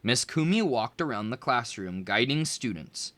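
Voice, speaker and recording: A man reading a children's chapter book aloud in an expressive storytelling way. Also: mid-range voice, moderate pace. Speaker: read-aloud narrator.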